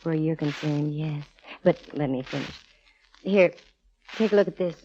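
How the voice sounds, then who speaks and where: An actress playing a confident, wisecracking female private detective in a brisk, wry 1940s radio style. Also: sits low, dark tone, natural voice. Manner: brisk, wry, self-assured. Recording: dull, hissy old radio recording.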